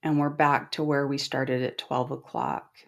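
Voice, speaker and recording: Calm lady doing guided meditation, deep voice, really unhurried with long gaps. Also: vocal fry. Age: middle-aged. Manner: calm, gentle, instructing with long pauses. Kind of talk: guided body scan meditation.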